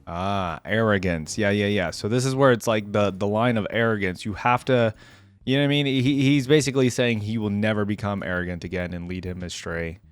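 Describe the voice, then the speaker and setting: A man's voice: fairly low pitch, a little fast, clean tone, dry recording. A man hosting a casual game reaction video, talking in an informal, slangy, effusive way. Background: music.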